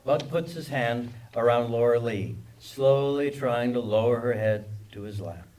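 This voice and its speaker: A man reads screenplay directions as the narrator of a table read. His pitch is average, and the delivery is scripted narration. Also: unhurried, a little mellow, soft background music, rough recording.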